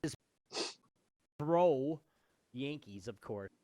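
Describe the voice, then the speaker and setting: A man's voice: mid-range voice, expressive, drawn-out words, dark tone, no room sound. A man co-hosting a casual live gaming show with playful banter. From North America.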